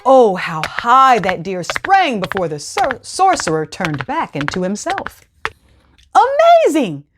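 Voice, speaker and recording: A woman reading a children's storybook aloud with dramatic, expressive narration. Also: mid-range voice, unhurried, music in the background.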